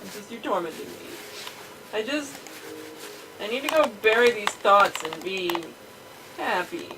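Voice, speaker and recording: A woman's voice: medium pitch, expressive, measured pace, background noise, natural voice. A woman voicing a tired, sarcastic male programmer with a weary, irritable manner. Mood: exasperated.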